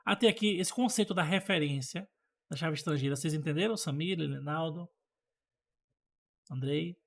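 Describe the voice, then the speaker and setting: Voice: medium pitch, moderate pace. A Brazilian teacher giving an informal programming lesson, explaining conversationally with examples and checking that learners follow. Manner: conversational, checks understanding, acts out example dialogue.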